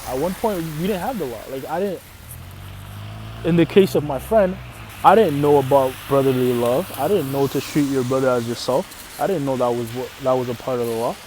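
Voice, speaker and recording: A man's voice: medium pitch, a little fast, darkish tone, a little hiss or hum. A man from Canada whose first language is Arabic, teaching scripture informally and often checking in with "right?". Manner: casual, repeats "right?" often, restarts phrases. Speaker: religious teacher.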